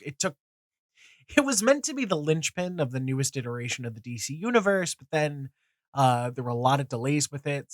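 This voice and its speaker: A man's voice: medium pitch, dry recording, studio quality. Nasal man with a cold, rambling. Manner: rambling, many fillers and restarts, swears casually. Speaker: comics video host. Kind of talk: casual opinion monologue.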